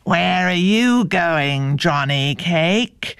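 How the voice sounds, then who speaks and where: Lady putting on a nasty snarly wolf for a kids tale, super slow, dry close sound. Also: fairly low pitch, animated, a bit bright, clear, resonant tone, no room sound, exaggerated voice. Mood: menacing. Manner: slow, drawn-out. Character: menacing snarling male wolf.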